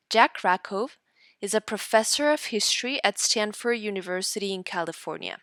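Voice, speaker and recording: A woman teacher reading aloud for students in an instructional way, deliberately and in short guiding phrases. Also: medium pitch, fairly bright tone, no echo, clean studio sound. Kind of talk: instructional read-aloud lesson narration.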